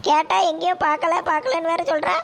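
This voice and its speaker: A woman playing a quick-tempered old village grandmother, scolding her grandson in a comedy skit. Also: very high-pitched, flat delivery, heavy background noise. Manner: nagging, scolding, sharp rebukes.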